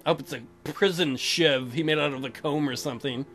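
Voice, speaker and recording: A man's voice: fairly high pitch, a little fast, fairly bright tone. A man hosting a let's play, narrating and commenting on a game in a casual, irreverent, jokey way. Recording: music behind the voice.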